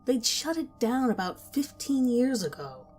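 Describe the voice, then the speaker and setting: A woman narrating a scripted horror story in a slow, measured, intimate way. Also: on the high side, big pitch swings, darkish tone, faint music behind.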